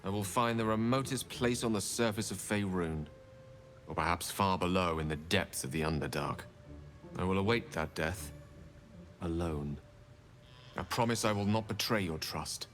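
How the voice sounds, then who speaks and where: A scholarly wizard speaks in a fairly low voice with long reverb over music. He is wistful and weighed down by guilt, telling his tale with measured, eloquent theatricality, as in a fantasy game. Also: fairly bright tone. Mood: grave resolve. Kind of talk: dramatic video game dialogue.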